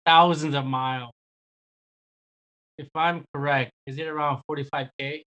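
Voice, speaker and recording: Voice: medium pitch, fairly even intonation, measured pace, a bit bright, dull audio. Enthusiastic, rambling interview host. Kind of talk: interview hosting.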